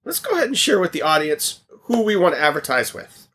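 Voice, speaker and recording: A man co-hosting a casual podcast while losing his voice, coughing now and then and projecting loudly with many restarts. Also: sits high, huge pitch swings, fast, husky. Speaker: podcast co-host.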